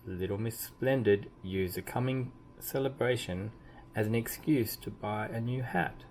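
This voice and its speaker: Low-pitched man reading a children's storybook, noisy recording. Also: darkish tone. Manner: deliberate emphasis, drawn-out key words. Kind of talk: expressive read-aloud storytelling. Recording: clearly audible background noise.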